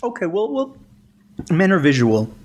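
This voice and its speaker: A man's voice: sits high, wildly swooping pitch, normal speed, slight background noise. A man co-hosting a podcast in casual chat, stammering often and teasing his partner with dry questions. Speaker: podcast co-host.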